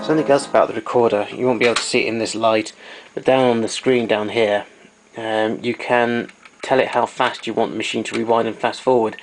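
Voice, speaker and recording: A man's voice: fairly low pitch, little pitch movement, a little fast, low-quality recording. A man giving an informal, hands-on equipment demonstration, explaining technical details as he goes.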